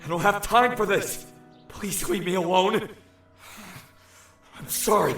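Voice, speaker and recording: An actor voicing an anxious young shapeshifter from another realm in fretful audio drama monologue, muttering to himself. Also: male voice, high voice, slow pace, vocal fry, a bit bright, echoey room, soft background music, heavily acted. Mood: panicked. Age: young adult.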